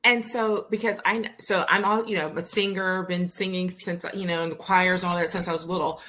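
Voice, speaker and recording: A woman's voice: on the low side, steady tone, brisk, fairly bright tone. A woman co-hosting a casual livestream chat, talking in an informal, chatty way. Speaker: co-host and interviewer.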